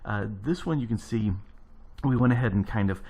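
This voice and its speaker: A man casually commenting on his gameplay, his voice gravelly from early morning, rambling and thinking aloud as he builds. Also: medium pitch, lively intonation, a little fast, mellow. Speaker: let's play host.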